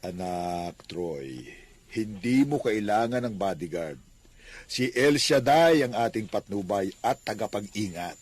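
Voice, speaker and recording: A man's voice: fairly low pitch, expressive, slow pace, slightly rough, no room sound, heavily acted. An actor playing a devout, firm and grateful elderly Filipino shopkeeper and father in a religious radio drama. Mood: concerned, admonishing.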